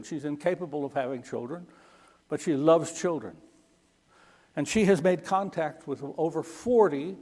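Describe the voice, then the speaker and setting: A middle-aged man speaks in a fairly high voice, telling mission stories in a folksy way and checking in with "okay?" again and again. His voice carries the long reverb of a big church hall. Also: a little mellow. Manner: folksy, keeps asking "okay?". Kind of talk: Sunday school talk.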